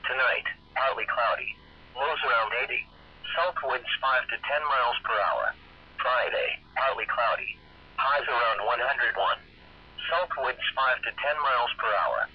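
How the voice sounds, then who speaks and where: A synthetic male voice reads a scripted weather forecast as a radio announcer, measured, stiff and impersonal. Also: mid-range voice, husky. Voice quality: synthetic text-to-speech voice. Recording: dull, noisy weather radio broadcast.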